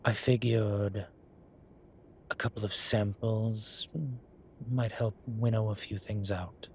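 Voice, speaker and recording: A man's voice: fairly low pitch, level tone, slow, slight background noise. A man playing a vain, suave incubus demon who poses as a posh professor in an audio roleplay, with a wry, self-satisfied drawl. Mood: conspiratorial. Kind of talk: scripted audio roleplay acting. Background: ambient sound effects.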